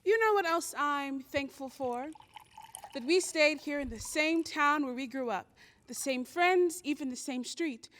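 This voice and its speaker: Actress as gentle, contented mother, high voice, old-time radio drama, faint noise behind. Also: measured pace. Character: gentle, contented small-town wife and mother.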